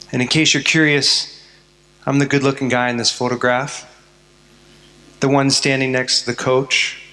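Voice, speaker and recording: A man's voice: on the high side, pushed and bright. A Canadian man telling his life story in a prepared talk to students, earnest with some self-mocking humor. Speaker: speaker addressing students. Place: school hall. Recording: long hall reverb.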